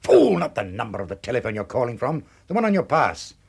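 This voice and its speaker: A ruthless criminal mastermind in a radio thriller. His voice is husky and swings widely in pitch. He gives curt, imperious orders with cold control. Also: huge pitch swings, fast talker, full character voice. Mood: curt, impatient. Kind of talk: radio drama acting.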